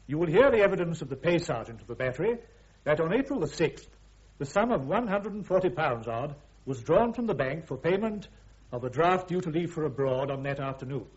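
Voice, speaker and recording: An actor playing an army prosecutor in a radio drama, addressing a court-martial in a British accent with formal, deliberate, precise oratory. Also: male voice, a little high, animated, a little mellow, close to an everyday voice. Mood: formal, measured. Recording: dull, band-limited radio drama recording.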